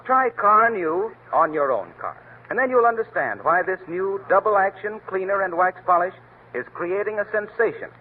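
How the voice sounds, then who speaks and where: A man's voice: sits high, expressive, moderate pace. A man announcing a 1930s radio show and reading its commercial, emphatic and salesman-like. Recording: tinny lo-fi old radio broadcast, faint hiss.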